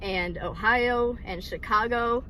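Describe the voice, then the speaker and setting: A woman's voice: on the high side, slow, crowd noise, poor audio. A woman in her twenties from Michigan, casually sharing her personal faith story with frequent laughs, ums and likes. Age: 20s. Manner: giggly, many ums and likes. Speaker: vlogger sharing testimony.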